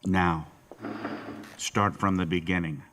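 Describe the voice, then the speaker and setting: A man playing a stern police-style interrogator with a low voice. He is condescending and menacing, deliberately needling and pressing again and again in dramatic audio drama style. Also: fairly even intonation, fairly bright tone. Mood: coolly pressing.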